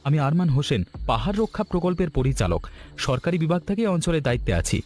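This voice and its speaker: A man voicing an upright, firm but grateful government project director in a cartoon story, speaking in a formal, measured way. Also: on the high side, very animated, darkish tone. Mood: firm, official. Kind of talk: Bengali cartoon story voice acting.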